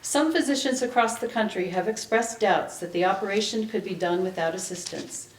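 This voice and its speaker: A woman author giving a dramatic, measured reading of fiction to be heard at a live event. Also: mid-range voice, a little hiss or hum. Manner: measured narration, acting out the dialogue. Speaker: author reading from own novel. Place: indoor library event space. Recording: echoey room, public event recording.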